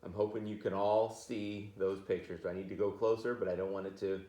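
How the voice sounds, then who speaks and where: A man's voice: lowish voice, little pitch movement, brisk, mellow. A man reading a children's picture book aloud, playfully talking to his listeners with chatty asides. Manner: playful, addresses listeners directly. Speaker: read-aloud storyteller. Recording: roomy, echoey sound.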